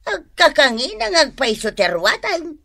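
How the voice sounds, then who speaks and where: Woman acting a high, bright, scolding Filipina. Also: sing-song, measured pace, no echo, clean studio sound, full character voice. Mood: exasperated scolding. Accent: Ilocano Filipino. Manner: emphatic, scolding. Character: scolding, exasperated woman, blunt and reproachful. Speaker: radio drama actress. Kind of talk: Ilocano radio drama acting.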